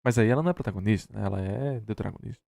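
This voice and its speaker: A man's voice: lowish voice, very fast, vocal fry, warm tone. A man with a Brazilian accent giving casual, heated commentary on a video, blunt, sarcastic and full of slang. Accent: Brazilian Portuguese. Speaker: reaction stream host.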